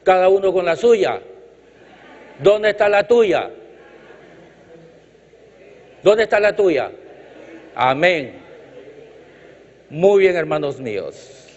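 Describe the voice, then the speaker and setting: Man preaching, from Chile, fairly high voice, rhythmic emphatic delivery, lo-fi hall recording. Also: very animated, slow pace, pauses often, darkish tone. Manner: emphatic, rhythmic repetition, light jokes. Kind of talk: sermon with congregational call-outs. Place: church hall. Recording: reverberant hall, dull lo-fi sound.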